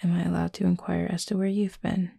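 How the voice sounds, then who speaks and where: A woman voicing a gentle, formal cupid roommate in an intimate roleplay audio, soft, breathy and husky, speaking in a measured, earnest way. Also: lowish voice, flat delivery, dry recording, clean studio sound, heavily acted. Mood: curious, reserved.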